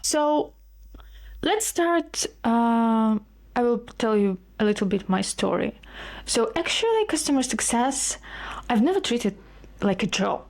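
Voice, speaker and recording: Slow, earnest Ukrainian-accented woman host. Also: mid-range voice, a little mellow. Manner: earnest, slightly halting non-native phrasing. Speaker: podcast host. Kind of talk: solo podcast monologue.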